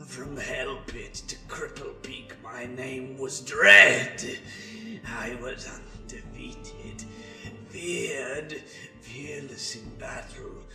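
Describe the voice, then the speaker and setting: A man's voice: mid-range voice, vocal fry. A man voicing a proud, scheming ratman warrior in fantasy character acting, theatrical and emphatic. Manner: theatrical, emphatic, swinging from hiss to shout. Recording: echoey room with faint music.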